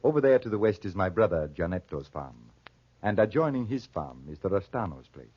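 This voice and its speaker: An actor in a 1940s radio drama playing a proud, honor-bound farmer and father, grave and deliberate. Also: low voice, big pitch swings, husky, heavily acted. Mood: grave narration. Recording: muffled, echoey 1940s radio recording.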